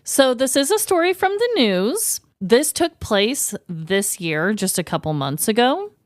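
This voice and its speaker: A woman's voice: on the high side, sing-song, unhurried, no echo. An American woman co-hosting a podcast, moving between casual banter and scripted comedic storytelling. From United States. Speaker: podcast co-host.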